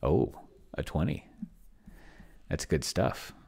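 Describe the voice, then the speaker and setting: Middle-aged male streamer, very deep and slow, casually muttering through his thoughts in stops and starts. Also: very animated, gravelly, a little mellow, slightly rough. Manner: halting, murmuring word by word as he types. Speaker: host of a solo roleplaying experiment stream. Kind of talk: casual thinking aloud while typing and reading from screen. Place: indoor home room. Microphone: desk microphone close to the mouth.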